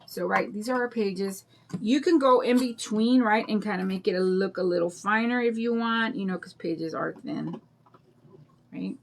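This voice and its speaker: An older woman with a raspy voice and a drawl, chatting in a rambling way and greeting viewers while she demonstrates a craft on a livestream. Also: mid-range voice, moderate pace, slight background noise. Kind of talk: casual chat during a craft demo.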